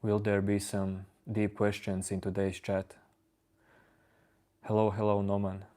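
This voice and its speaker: A man whose first language is Latvian, calmly answering viewers' chat questions on a live stream. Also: lowish voice. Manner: calm, unhurried replies to chat. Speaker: livestream host answering chat.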